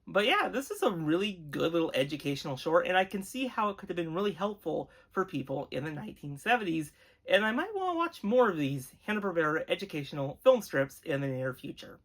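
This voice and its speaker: Earnest review show host, mid-pitched voice, chatty and appreciative, leans on words for emphasis. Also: very animated, fairly quick. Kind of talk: enthusiastic retro cartoon review, conversational commentary.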